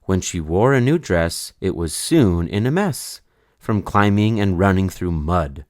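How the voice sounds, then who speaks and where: A man with a low voice reads a children's rhyming tale aloud. His delivery is unhurried and sing-song, swinging widely up and down in pitch. He keeps the verse expressive and measured, in the manner of a storybook read-aloud. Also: dry recording, studio quality. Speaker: storybook reader.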